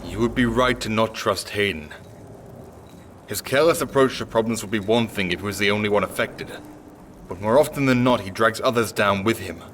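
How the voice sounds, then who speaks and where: Casting: man as righteous, suspicious knight, low voice, formal and grave, fantasy RPG, slight ambient noise. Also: a little fast, a bit bright, close to an everyday voice. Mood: stern. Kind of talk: fantasy video game voice acting.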